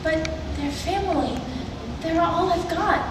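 A woman's voice: very high voice, unhurried, background noise. A young woman acting a wistful, earnest young heroine in a stage musical, speaking hesitantly. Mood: earnest, wondering. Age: young adult. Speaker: student stage actress. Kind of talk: musical theatre stage dialogue. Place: large indoor theatre stage, distant camera. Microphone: far camera. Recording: echoey theatre stage recorded from far off.

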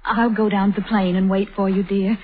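An actress playing a gentle, affectionate young fiancée in a vintage radio drama. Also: female voice, mid-range voice, flat delivery, brisk, slight background noise, natural voice. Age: young adult. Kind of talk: 1930s radio acting. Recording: tinny old radio broadcast.

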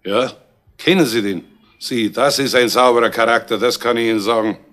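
A man acts out a shrewd, sardonic police inspector from a 1970s radio crime play. He talks in a folksy Bavarian accent at a middling pitch, dry and a little grumbling. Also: moderate pace, fairly bright tone, close to an everyday voice. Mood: ingratiating, aggrieved.

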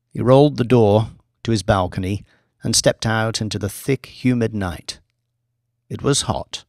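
A man reading a scripted audiobook story in a measured, deliberate storytelling manner. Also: lowish voice, vocal fry, no room sound, studio quality. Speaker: audiobook narrator, author reading own book.

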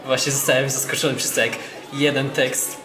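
A man's voice: medium pitch, level tone, a little fast, natural voice. A young man in a casual conversation in an amateur radio play. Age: young adult. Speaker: audience member giving feedback. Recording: echoey space with crowd noise.

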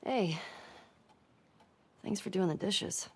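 A woman playing a weary, gentle young survivor in a video game cutscene, with a soft, understated voice. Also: mid-range voice, slow pace, a little hiss or hum. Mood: gentle, tired. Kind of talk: naturalistic game cutscene acting.

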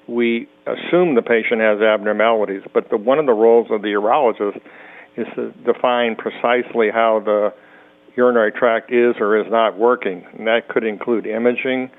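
A male urologist explaining clinical practice in a calm, measured way during a medical podcast interview. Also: on the low side. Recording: tinny phone-like remote audio.

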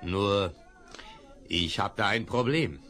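An ingratiating old cattle dealer. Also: male voice, on the low side, takes it slowly, sharp tone. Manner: ingratiating, persuasive. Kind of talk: 1970s radio play acting.

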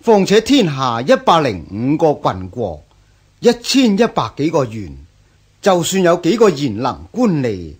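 Slow, husky scholar-official. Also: male voice, on the high side, wildly swooping pitch, full character voice. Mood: regretful. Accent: Hong Kong Cantonese. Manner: measured, sighing, earnest. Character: patient, principled male scholar-official, a prefect. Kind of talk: radio drama acting.

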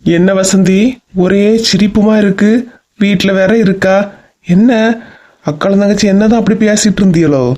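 A man's voice: high voice, steady tone, moderate pace, pauses often, a little mellow, close to an everyday voice. A man voicing a sceptical, teasing, grumbling middle-aged husband in a comedy cartoon, mocking and questioning, with a Kanyakumari Tamil accent. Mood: curious.

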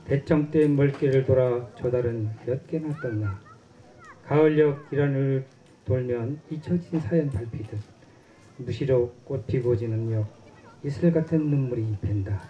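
A man's voice: medium pitch, normal speed, mellow. A middle-aged man reading a poem aloud steadily at an outdoor festival, holding a microphone. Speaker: poetry reader. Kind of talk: poetry recitation. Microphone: handheld microphone. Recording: muffled outdoor recording, faint noise.

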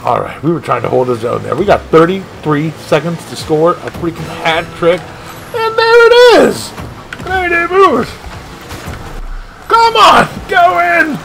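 A man streaming a video game live, giving excitable play-by-play with shouted catchphrases. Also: sits high, unhurried, creaky, over music. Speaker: gaming streamer. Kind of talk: live gameplay commentary.

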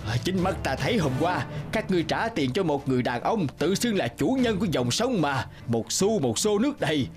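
A man playing a greedy, pompous fat rich man in an animated folk tale, with an animated delivery. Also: fairly high pitch, brisk, over music. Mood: indignant.

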